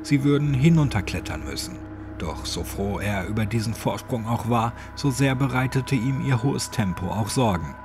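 A man's voice: medium pitch, animated, moderate pace. A man narrating a scripted horror story as an audiobook. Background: music.

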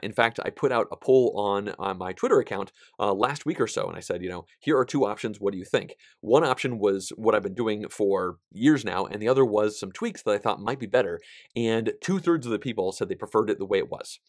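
A man in his thirties with a somewhat nasal voice, giving a casual, animated vlog update about his board game channel. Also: on the low side, no room sound, studio quality. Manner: chatty and animated, gesturing with both hands, frequent fillers. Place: small indoor studio, plain backdrop.

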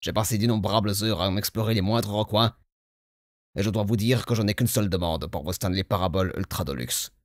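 Man playing a low-voiced pleading game fan. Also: fairly quick, no room sound, studio quality, natural voice. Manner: earnest, imploring, dramatic. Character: pleading, devoted game fan with enormous expectations.

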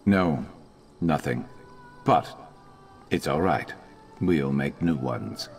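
Blunt, world-weary game adventurer, extremely deep register, animated inflection, dry wit. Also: male voice, slow, soft background music, heavily acted. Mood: reassuring. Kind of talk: scripted fantasy game voice acting.

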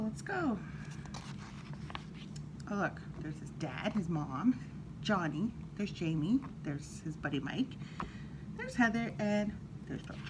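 A woman storyteller reading a children's picture book aloud in an animated, expressive way, with brief asides. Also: medium pitch. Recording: clearly audible background noise.

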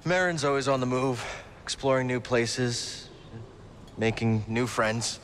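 A man's voice: mid-range voice, a little slow, natural voice. A young man playing a weary, guarded rebel fighter in a video game, terse and understated. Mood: weary, downcast. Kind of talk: video game cutscene acting. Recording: faint background noise.

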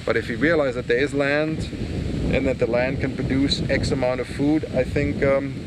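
A man explaining things as a farmer in an interview, in a halting way. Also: medium pitch, steady tone. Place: outdoors on a farm near animals. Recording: loud wind and vehicle noise outdoors.

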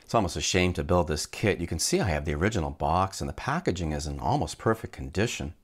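Deep-voiced man with lively intonation. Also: husky. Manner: unhurried, narrates each step as he works. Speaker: host of a vintage electronics channel. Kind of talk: casual hands-on unboxing narration.

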